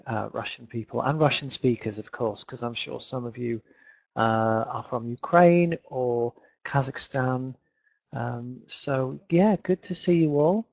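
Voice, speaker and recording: A man in his thirties from Leeds with a Northern English accent, teaching an online English lesson in a chatty way, repeating words and filling pauses. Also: mid-range voice, darkish tone, dry recording. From England. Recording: dull lo-fi webinar audio.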